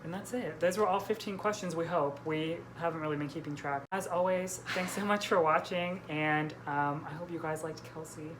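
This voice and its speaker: A woman's voice: low-pitched, steady tone, moderate pace, hardly any pauses, noisy background. A woman hosting a book vlog in a casual, informal, somewhat hesitant conversational way.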